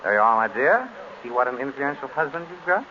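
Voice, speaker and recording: An actor playing a suave, easygoing, wisecracking gentleman detective in an old radio drama, with breezy, wry delivery. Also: mid-range voice, big pitch swings. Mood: affable. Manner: breezy, wry, unhurried banter. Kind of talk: 1930s radio drama acting. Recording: muffled, noisy old radio recording.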